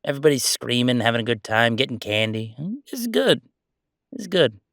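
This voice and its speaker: A man playing a friendly, mischievous ghost roommate in a casual roleplay audio drama, talking in an excitable, joking, conversational way. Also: medium pitch, a little fast, dry recording, studio quality. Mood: gleeful. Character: friendly male ghost roommate, playful, mischievous, chatty jokester.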